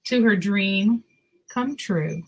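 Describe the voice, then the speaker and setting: A woman's voice: medium pitch, slow, low-quality recording. A woman hosting a picture book read-aloud, telling the story expressively with casual asides.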